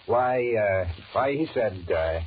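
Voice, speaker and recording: An actor with an American accent playing a cowardly, irritable cheating husband in a 1940s radio drama, switching between muttered thoughts and halting sweet talk. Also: lowish voice, lively intonation. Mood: nervous, evasive. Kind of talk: 1940s radio horror acting with inner monologue. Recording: muffled, noisy 1940s radio recording.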